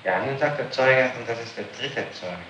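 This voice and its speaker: A man's voice: mid-range voice, moderate pace, sharp tone, highs cut off. A man speaking as a public prosecutor in a formal interrogation, methodically and insistently laying out witness testimony. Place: reverberant room, archive tape recording. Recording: noisy, echoey 1960s interrogation tape.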